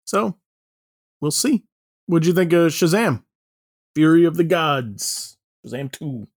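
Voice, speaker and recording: Higher-pitched man, dry close sound. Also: animated, slow pace, dry recording. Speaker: podcast host. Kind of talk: casual panel chat about films.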